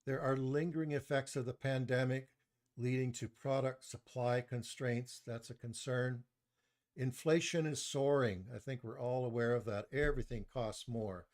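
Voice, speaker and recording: A soft-spoken man giving a live online stock market review into a desktop mic, measured, with frequent pauses and restarts. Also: medium pitch, steady tone. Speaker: presenter of a stock market webinar. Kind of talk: live online presentation, reading chat comments.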